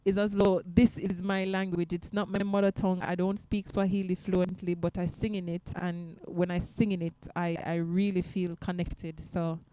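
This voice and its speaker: Earnest, soft-spoken woman, smooth voice. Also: fairly low pitch, little pitch movement, moderate pace, few pauses, poor audio. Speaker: guest singer. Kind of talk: casual interview talk.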